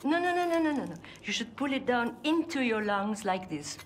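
A woman's voice: on the high side, big pitch swings, a little fast, a little hiss or hum. An actress playing a worldly, playful French resistance woman in a video game, confident and maternal, with a French accent. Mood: indulgent. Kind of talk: video game cutscene acting.

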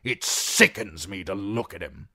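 A man voicing a gruff, indignant lord in a fantasy audiobook, growling his words forcefully. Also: sits low, animated, moderate pace, exaggerated voice. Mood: disgusted, angry. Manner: forceful, blustering. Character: gruff, growling, indignant old-guard nobleman.

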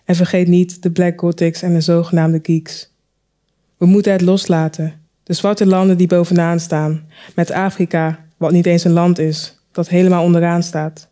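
A woman storyteller performing a spoken word piece, with deliberate, dramatic phrasing and rhetorical questions. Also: fairly low pitch, level tone, warm tone. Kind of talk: spoken word recitation, poetic monologue.

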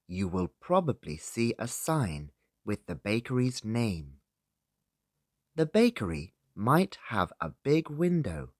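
A man's voice: lowish voice, no room sound, studio quality. A British-accented man narrating a scripted beginner listening lesson as a teacher, in simple, separated phrases for learners. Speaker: English teacher.

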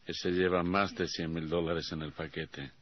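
A menacing, mocking gang boss in a radio play. He drawls his taunts in a low voice, greedy and sly. The recording is dull and dated. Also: flat delivery, a little slow. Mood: cold command. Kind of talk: radio theatre acting.

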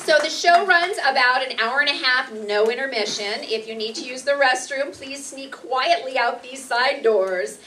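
A woman's voice: high-pitched, moderate pace, hardly any pauses, bright, forceful tone. A woman host and instructor giving welcoming announcements to a live audience in an indoor room. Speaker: acting instructor and event host. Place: meeting room stage, indoors. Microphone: far camera. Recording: distant camera mic, clear background noise.

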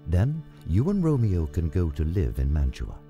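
A grave old friar with a very low, earnest voice, put on by a male narrator. He speaks deliberately, as in a dramatized storybook, over soft music. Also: huge pitch swings, lo-fi sound, exaggerated voice. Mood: reassuring, grave. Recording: music under the voice.

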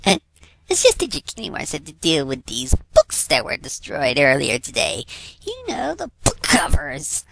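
A man's voice: fairly high pitch, vocal fry, fairly bright tone, slight background noise, pitched above the performer's own voice, exaggerated voice, low-quality recording. A man voicing an awkwardly apologetic, deranged female unicorn pony, explaining hesitantly.

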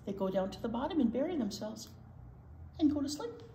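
A woman's voice: on the high side, big pitch swings, fairly quick, warm tone. An older woman reading a picture book aloud to young children, with conversational asides between the lines. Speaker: children's storytime co-host reader. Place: indoor library storytime room. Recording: roomy sound with some background noise.

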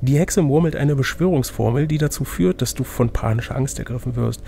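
A man's voice: medium pitch, brisk, vocal fry, dark tone, slight background noise. A man narrating an adventure gamebook as game master, switching between scripted reading and casual chat.

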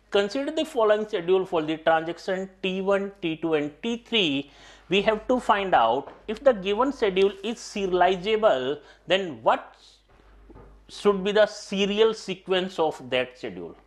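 A middle-aged man lectures at a whiteboard, his pitch rising and falling widely as he stresses each point. He keeps repeating key ideas and turns often to address his students directly. He speaks through a clip-on mic in a small studio classroom. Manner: repetitive, emphatic teaching, often addressing "my dear students". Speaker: teacher. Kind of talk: exam-prep lecture at a whiteboard.

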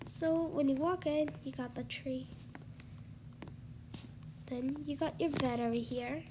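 A woman's voice: sits high, slow, noisy background. A young woman with a congested, stuffy voice, chatting casually through a tour of a house she built in a game, full of ums and likes. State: congested from allergies. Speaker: gaming YouTuber.

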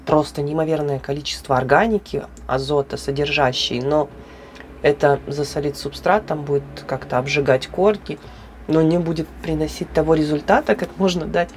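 A woman with a hoarse voice, chatting casually and animatedly in a hobby plant vlog, with laughs and fillers. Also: sits low, steady tone, normal speed, darkish tone, slight background noise. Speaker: orchid grower.

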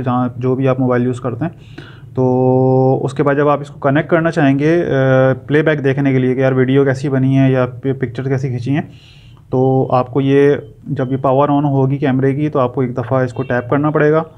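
A man's voice: mid-range voice, little pitch movement, normal speed. A man gives an informal step-by-step product tutorial, explaining patiently and often checking in with short tags. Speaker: product reviewer giving a tutorial. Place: indoor room, tabletop demonstration. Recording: dull sound with background noise.

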